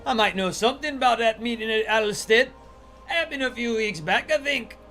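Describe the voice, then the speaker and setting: A man voicing a shady male informant from a game quest, talking in a rough dialect. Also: very high voice, moderate pace, bright, forceful tone, soft background music, voice pushed up, exaggerated voice. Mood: evasive. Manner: rough dialect, drops 'my' for 'me'. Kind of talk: reading game quest text aloud in character.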